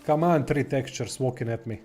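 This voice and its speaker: A man's voice: medium pitch, fairly quick, a little mellow. A man in his thirties with a Croatian accent, chatting casually and bluntly while streaming a game, swearing freely and rambling. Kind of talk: casual gameplay chat.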